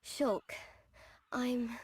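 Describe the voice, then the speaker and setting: A light, fairly high female voice speaks slowly and softly as a frail but brave young heroine. She sounds gentle and pleading, in game cutscene style. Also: flat delivery, husky, dry recording, exaggerated voice. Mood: weak, reassuring. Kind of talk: scripted game cutscene acting.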